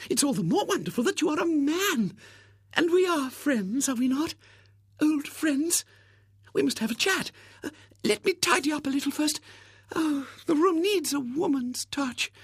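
A man reading aloud and voicing a gushing, overbearing spinster in a shrill, breathless, theatrical way. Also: expressive, moderate pace, no echo, studio quality. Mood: adoring.

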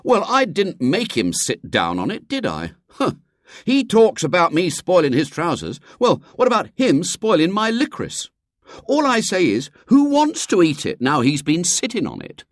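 A man's voice: mid-range voice, very animated, normal speed, no room sound, studio quality, voice pushed up. A man voicing a sulky, aggrieved schoolboy in a storybook reading, petulant and indignant.

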